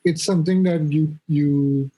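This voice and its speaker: A man in his mid-forties talks through ideas on a podcast, often restarting as he hunts for a word. His voice sits a bit high and sounds husky. He teases his co-host with dry jabs. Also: steady tone, unhurried, warm tone, low-quality recording. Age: 40s. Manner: rambling, restarts often, teasing. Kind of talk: casual chat. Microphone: remote call.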